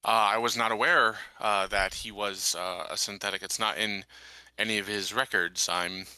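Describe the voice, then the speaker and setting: A man playing a composed, crisp-voiced sheriff. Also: fairly low pitch, fairly even intonation, sharp tone, dry recording, poor audio. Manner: formal, measured. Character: male sheriff, composed, matter-of-fact, unsurprised.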